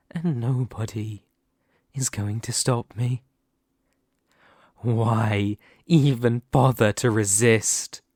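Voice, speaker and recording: Man acting a slow, menacing, gloating demon lord. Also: mid-range voice, no echo, clean studio sound. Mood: threatening, commanding. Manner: slow, menacing, taunting with drawn-out words. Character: dominant, gloating, unhinged male demon lord. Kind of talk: ASMR fantasy roleplay acting.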